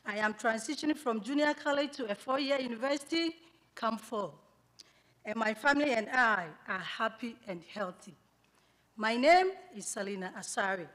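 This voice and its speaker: A woman's voice: fairly high pitch, expressive, a bit bright. Earnest Ghanaian woman speaking at a podium. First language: Twi. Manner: measured, earnest reading with deliberate pauses. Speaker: graduating student speaker. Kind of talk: prepared speech read at a podium. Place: banquet hall at a graduation celebration. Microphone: podium microphone on a stand, filmed from a far camera.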